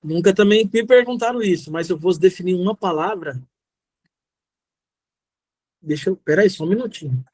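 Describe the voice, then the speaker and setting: A middle-aged man with a Brazilian accent and a rather high voice, recorded dry and close. He talks like a motivational guest speaker, informal and direct, driving key phrases home by repeating them. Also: animated, moderate pace, pauses often, darkish tone, no room sound. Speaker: guest speaker, social entrepreneur. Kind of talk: motivational talk answering audience questions.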